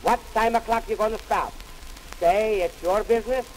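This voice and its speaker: A man voicing a loud, indignant Italian barber with a stage Italian accent, in 1920s dialect comedy record style. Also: high-pitched, normal speed, close to an everyday voice. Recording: hissy, noisy 1920s 78 rpm record.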